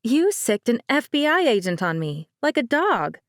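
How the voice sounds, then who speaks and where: A woman's voice: on the high side, big pitch swings, normal speed, no room sound, studio quality, pitched above the performer's own voice. A woman voicing a direct, earnest young wedding planner who was once an agent.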